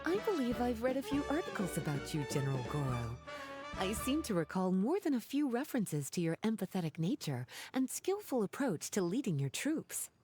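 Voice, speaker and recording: A woman's voice: medium pitch, big pitch swings, close to an everyday voice. Woman acting a coy, lilting, elegant lady mage. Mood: knowing, teasing. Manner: coy, affectionate, unhurried politeness. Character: teasing, doting, elegant adult female librarian mage. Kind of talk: video game voice acting. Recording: background music under voice.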